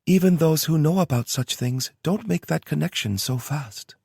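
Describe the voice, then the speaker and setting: A man voicing a calm, polite young woman who is a shapeshifter, speaking measured and kind. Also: fairly high pitch, creaky, breathy edge, no echo, studio quality, voice pushed up.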